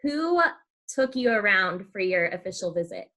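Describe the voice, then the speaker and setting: Young adult woman, bright forceful voice, casual interviewer, podcast host. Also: mid-range voice, expressive, moderate pace.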